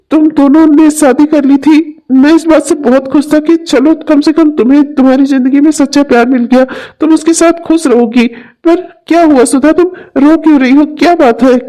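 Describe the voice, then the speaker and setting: A man's voice: very high-pitched, dark tone, low-quality recording. A man voicing a caring young male friend who talks in a concerned, coaxing and chatty way in an amateur audio drama.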